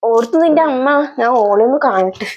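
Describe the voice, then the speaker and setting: A woman's voice: on the high side, animated, moderate pace, warm tone, muffled recording. A woman playing a tactless, complaining visiting village aunt in a comedy sketch, with a Kannur accent. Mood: smug, tactless. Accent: Kannur, north Kerala. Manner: backhanded, complaining.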